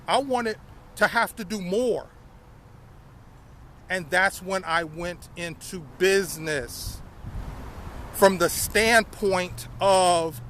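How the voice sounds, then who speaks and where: A man's voice: high voice, pushed and bright, a little hiss or hum. A man in his fifties telling his life story casually to viewers, often repeating phrases and calling them y'all. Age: 50s. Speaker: vlogger.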